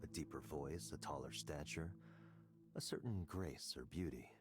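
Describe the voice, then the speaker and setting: An actor playing a calm, probing male tech executive in a voiced game, measured and quietly needling. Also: very deep voice, over music. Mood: cool, probing. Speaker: game character.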